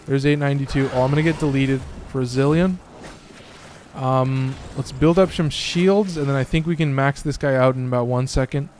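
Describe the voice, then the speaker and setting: A man chatting loosely through a game stream in an ordinary middle-pitched voice, a little hoarse and raspy. He swears freely and keeps up easy, rambling talk. A faint hiss of noise sits under the voice. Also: steady tone, normal speed, a little mellow. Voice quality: slightly raspy. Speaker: gaming streamer. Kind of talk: casual gameplay commentary.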